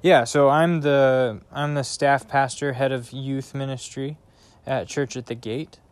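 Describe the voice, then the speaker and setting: Youth pastor, male, slow and thoughtful, smooth clear voice, hesitant. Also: mid-range voice, steady tone, no echo. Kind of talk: casual conversation. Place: outdoors in a backyard by a bonfire.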